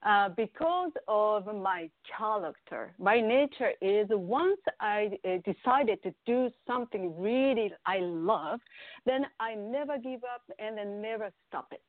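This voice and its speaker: Japanese woman in her fifties, slow and halting. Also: mid-range voice, animated, dry recording. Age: 50s. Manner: halting English with many fillers. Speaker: guest singer. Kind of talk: interview conversation. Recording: tinny phone-like audio, dry.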